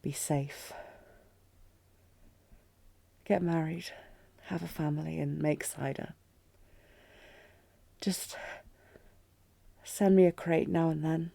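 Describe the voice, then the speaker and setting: A woman plays a fantasy audio drama queen, regal and intense, holding deep torment under tight control. Her voice is dark and mellow, recorded very dry. She commands with restraint, as one in love and in pain. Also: steady tone, measured pace, gravelly, long pauses, no echo, full character voice. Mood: tender, resigned.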